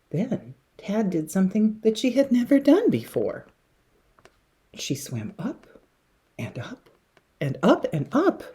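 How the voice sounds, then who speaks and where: A woman reads a children's story aloud in a low, mellow voice with a husky edge. She takes her time and swings widely in pitch, dropping to a hush for suspense and leaning hard on key words. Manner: dramatic, with hushed passages and emphatic words. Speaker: teacher reading a picture book to young children.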